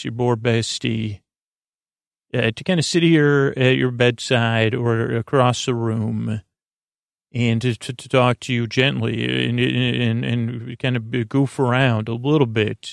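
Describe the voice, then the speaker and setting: A man hosting a sleep podcast in a gentle, halting, meandering way, with whimsical bedtime rambling full of stammered restarts and a creaky voice. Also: medium pitch, no echo, clean studio sound.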